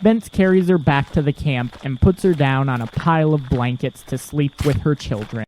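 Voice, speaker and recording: A man narrating a scripted audio drama, with clear background noise. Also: medium pitch, moderate pace, darkish tone, poor audio. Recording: clear background noise, clicks, outdoor ambience.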